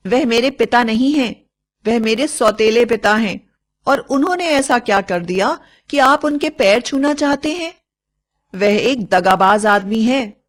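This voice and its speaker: A woman's voice: on the high side, animated, a little slow, voice pushed up. Bitter, sarcastic young stepdaughter, acted by a woman narrator. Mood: accusing. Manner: sarcastic, accusing, emphatic.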